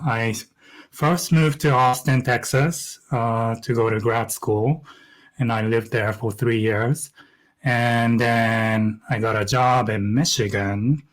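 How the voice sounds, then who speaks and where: A middle-aged man from Japan, a knitwear designer speaking softly and carefully as a guest on a video call interview. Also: lowish voice.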